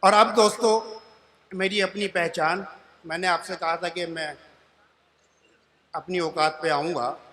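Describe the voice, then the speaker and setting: A man's voice: high-pitched, steady tone, measured pace, long pauses, sharp tone. A man in his sixties, a comic poet performing at a poetry gathering, repeats each couplet line before the punchline and chats with the crowd as friends. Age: 60s. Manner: chatty, addresses the crowd as friends. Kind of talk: humorous poetry recitation and storytelling. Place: stage at a live poetry event.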